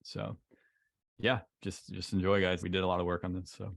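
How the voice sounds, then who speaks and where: A man co-hosting a webinar, relaxed and halting, filling his talk with "like" and "basically" as he reads slides. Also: sits low, creaky, dry recording, clean studio sound. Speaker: podcast co-host.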